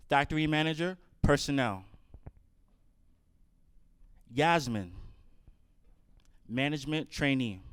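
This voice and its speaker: Guy narrator reading a play script, measured, announces each bit, crisp clear voice, dry sound no echo. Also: on the high side, a bit bright. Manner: measured, announcing items one by one. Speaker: narrator reading stage directions.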